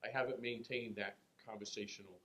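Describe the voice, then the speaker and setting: A middle-aged man, speaking on a panel in a relaxed, halting way with many fillers. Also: lowish voice. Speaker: state senator. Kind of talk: panel remarks.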